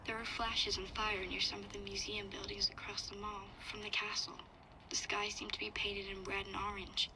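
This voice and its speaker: A woman's voice: mid-range voice, background noise, natural voice. A woman playing a thoughtful young girl who recounts survival in a reflective, subdued way, as audio drama narration. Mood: grave, reflective. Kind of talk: scripted first-person audio drama narration.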